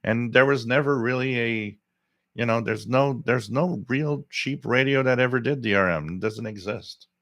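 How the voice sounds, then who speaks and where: A man's voice: medium pitch, moderate pace, fairly bright tone, dry recording. A French Canadian man whose first language is French, hosting a live radio stream and giving opinions in a rambling, opinionated way with many restarts. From Quebec, Canada. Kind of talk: live stream chat reading viewer comments.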